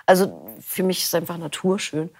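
Middle-aged woman, low and gravelly, loose rambling interview chat. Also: moderate pace. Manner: relaxed, rambling, laughs easily. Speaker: interview guest, audiobook narrator.

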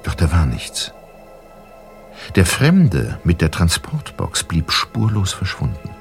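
A male narrator tells an audio drama story in a very low, creaky voice. His intonation is animated, over faint music. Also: takes it slowly.

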